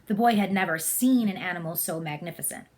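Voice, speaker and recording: A woman's voice: fairly low pitch, brisk, darkish tone. Woman reading a storybook aloud. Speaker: storybook reader. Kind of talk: read-aloud story narration.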